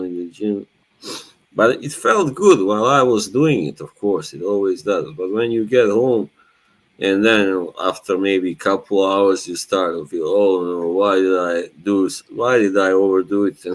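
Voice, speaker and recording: A man's voice: fairly low pitch, low-quality recording. A young man from Bosnia, whose first language is Bosnian, hosting a casual live chat in a laid-back way with frequent laughs. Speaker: live stream host.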